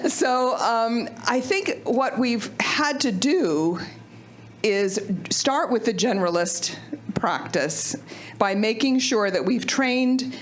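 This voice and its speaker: A woman manager in home health and hospice, speaking up from a meeting room audience without a microphone in an upbeat way, laughing often and calling herself loud. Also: fairly high pitch, expressive, slow pace. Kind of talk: panel discussion audience comment. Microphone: no microphone, picked up from a distance.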